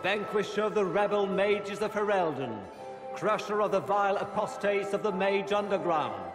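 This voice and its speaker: High-pitched pompous herald, echoing hall. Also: male voice, expressive, music in the background. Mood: ceremonious. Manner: grand, ceremonious, measured proclamation. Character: pompous court herald announcing noble guests. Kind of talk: fantasy game acting. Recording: echoey great hall, long reverb.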